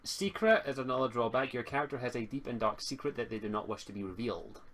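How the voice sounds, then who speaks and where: A man speaking casually as game master of a tabletop roleplaying game, halting and repeating words as he thinks. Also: medium pitch, a bit bright, a little hiss or hum. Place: home online voice call stream.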